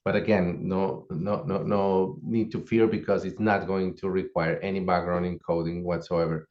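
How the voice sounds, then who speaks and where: A man with a fairly low voice walks through a course outline, listing one item after another at an even, explanatory pace. He is on a video call, and his voice sounds dull and lo-fi. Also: normal speed. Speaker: course instructor.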